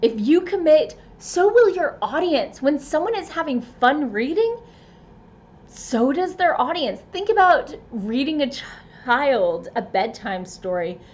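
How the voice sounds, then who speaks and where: A woman reading scripted advice as a presenter, with careful, expressive emphasis and playful asides. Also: sits high, takes it slowly, lo-fi sound. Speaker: writer and presenter giving tips.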